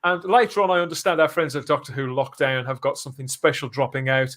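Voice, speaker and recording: A man's voice: medium pitch, fairly even intonation, fast, fairly bright tone. A man hosting a casual live podcast, chatting through news and comments with frequent rhetorical tag questions. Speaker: podcast host.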